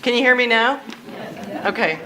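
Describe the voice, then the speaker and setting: A woman co-presenting a workshop, with a loud, big voice, introducing a poem to a group. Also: fairly high pitch, expressive, normal speed, a crowd in the background, rough recording. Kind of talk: informal talk and poetry reading. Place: meeting room with an audience.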